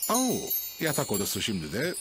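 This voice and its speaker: A woman's voice: rumbling low, huge pitch swings, normal speed, heavily acted. A woman playing the cheerful storybook narrator of a children's cartoon, reading scripted narration. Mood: cheerful, explaining. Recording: loud music behind the narration.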